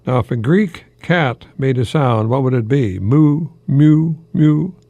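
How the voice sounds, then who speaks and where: Slow, creaky older American man. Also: mid-range voice, expressive, dark tone, no room sound. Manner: chuckles often at his own remarks, halting and rambling. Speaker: radio host, longtime English professor. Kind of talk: folksy solo radio talk.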